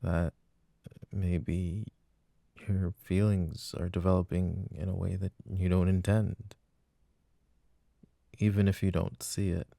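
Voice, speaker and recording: Man acting a deep-voiced, loving but jealous boyfriend. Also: little pitch movement, creaky, mellow, no room sound, studio quality, heavily acted. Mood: worried, insecure. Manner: hesitant, halting, intimate. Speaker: voice actor. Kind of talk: boyfriend roleplay ASMR.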